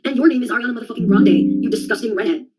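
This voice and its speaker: A woman's voice: a little high, expressive, rapid-fire, over loud music. A young adult woman in a rambling solo monologue, sardonic and profane, jumping from one topic to the next. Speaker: vlogger.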